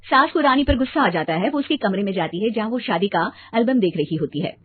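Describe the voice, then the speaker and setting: A woman's voice: mid-range voice, lively intonation, fairly quick, slightly rough, dry recording. Woman storyteller in dry, phone-like sound. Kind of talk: scripted story narration.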